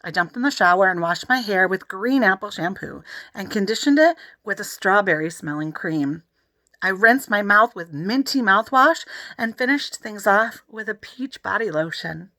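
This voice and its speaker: A woman's voice: medium pitch, expressive, moderate pace, bright, forceful tone, no room sound. A woman reading a children's story aloud expressively. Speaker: audiobook reader.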